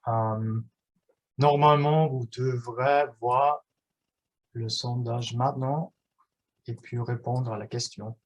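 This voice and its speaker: A man's voice: medium pitch, steady tone. Hesitant man presenting, full of fillers. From German-speaking Switzerland. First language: German. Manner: halting, many "euh" fillers and restarts. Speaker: researcher giving an online workshop. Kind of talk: live online presentation.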